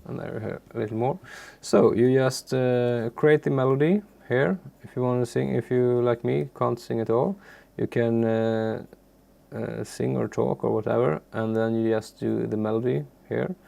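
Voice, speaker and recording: A man from Sweden, a native Swedish speaker, giving an informal, unscripted software tutorial in a halting, unassuming way with a gravelly, creaky voice. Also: medium pitch, fairly even intonation. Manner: halting, searching for words, unassuming. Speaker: music software tutorial presenter. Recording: faint background noise, keyboard clicks.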